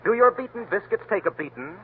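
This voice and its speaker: A man's voice: high-pitched, expressive, fast talker. Emphatic vintage radio announcer man. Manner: emphatic, salesman-like. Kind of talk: scripted commercial and show announcing. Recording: tinny lo-fi old radio broadcast, faint hiss.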